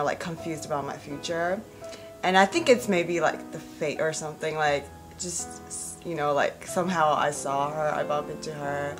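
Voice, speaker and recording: A young woman with a low voice talks loosely, circling her thoughts with fillers. She is being interviewed about acting. Music plays behind her. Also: fairly bright tone. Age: 20s. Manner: rambling, many "like" and "you know" fillers. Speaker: actress. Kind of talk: casual interview answers.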